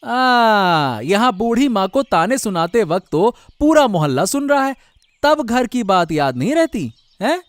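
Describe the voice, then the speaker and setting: A man's voice: high-pitched, big pitch swings, measured pace, no room sound. A man voicing a loyal, protective village man in a cartoon story, speaking emphatically. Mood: indignant. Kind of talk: Hindi cartoon story voice acting.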